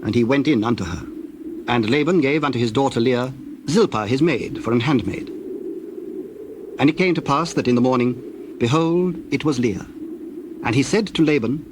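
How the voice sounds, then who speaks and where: A man narrating scripture as a formal, measured storyteller in a scripted dramatization. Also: mid-range voice. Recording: clear background noise, birdsong and doves.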